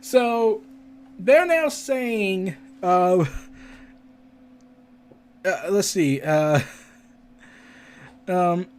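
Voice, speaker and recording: A man's voice: high-pitched, extremely slow, pauses often, a little hiss or hum. A man hosting a casual entertainment and gaming news commentary, stumbling as he reads and adding chatty asides. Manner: stumbling when reading aloud, with chatty asides. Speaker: podcast host.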